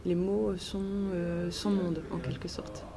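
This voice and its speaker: A woman answering interview questions in a hesitant, halting way with frequent pauses. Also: on the low side, flat delivery, crowd noise. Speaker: interviewed author and teacher. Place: book festival. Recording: noisy festival ambience. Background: clear ambient noise.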